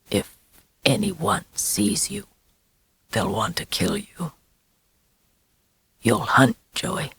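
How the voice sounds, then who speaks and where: A woman voicing a menacing, secretive werewolf man in a slow, breathy whisper for an audiobook. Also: low voice, a bit bright, slight background noise, heavily acted. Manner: slow, relentless, ominous.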